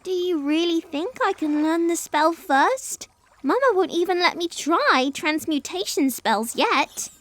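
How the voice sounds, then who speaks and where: Squeaky, excitable little unicorn filly. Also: male voice, measured pace, no echo, exaggerated voice. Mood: eager, awed.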